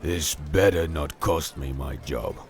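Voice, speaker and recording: A man's voice: a bass voice, slow, slightly rough, exaggerated voice. An actor, a man, playing an officious royal guard in a fantasy audio drama, giving clipped orders. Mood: stern. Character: officious male royal guard, stern but grudging.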